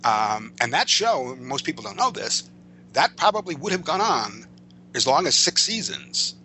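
An older American man speaking as an interview guest, reminiscing in a discursive, halting way and often correcting himself. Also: medium pitch, very animated, pushed and bright, slight background noise, poor audio. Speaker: podcast guest, veteran writer.

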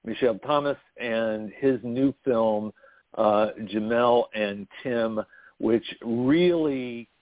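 A man's voice: mid-range voice, no room sound. A man hosts a radio talk show, introducing his guest and stumbling over the start of his questions. His voice sits in a middle range. The audio is dry and narrow, like a phone line. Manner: halting, restarts questions. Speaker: radio host. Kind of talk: radio interview.

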